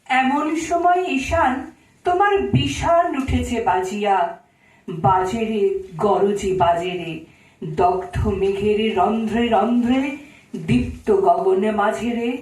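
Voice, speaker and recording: A woman's voice: mid-range voice, slow, pauses often, a little mellow. A woman giving a dramatic recitation of poetry. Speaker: recitation performer. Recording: echoey room, distant microphone.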